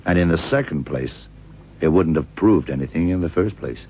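A man acting a tough, shrewd detective in a radio crime drama, deliberate and hard-boiled, with a deep husky voice. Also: expressive. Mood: dry, satisfied. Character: tough, shrewd male detective exposing a killer. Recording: dull, lo-fi old radio recording.

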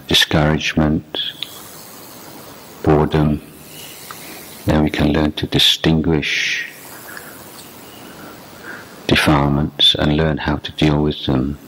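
A man guiding meditation as a teacher, speaking in a measured, deliberate way with long pauses between short phrases. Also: very deep voice, a bit bright, a little hiss or hum, low-quality recording. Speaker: meditation teacher, Buddhist monk. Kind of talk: guided meditation instruction, unscripted dharma talk.

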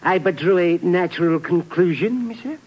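A man's voice: high voice, lively intonation, moderate pace, husky, lo-fi sound. An actor playing an indignant, self-important Continental professor in 1950s radio drama, pompous and formal in his protests. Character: indignant, self-important male French-speaking scientist, professor. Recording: hissy old radio broadcast.

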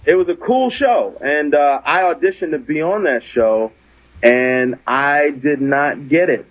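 A man's voice: a little high, measured pace, dry recording, dull audio. A man, a comedian guest on a podcast, telling a story in an earnest, self-deprecating way.

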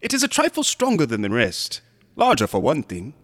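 An actor playing a smug, unctuous museum assistant in a horror radio drama, courteous and insinuating, sometimes dropping into whispers. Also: male voice, medium pitch, huge pitch swings, moderate pace, fairly bright tone. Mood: oily politeness. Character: sinister, smug, unctuous museum assistant guarding macabre exhibits.

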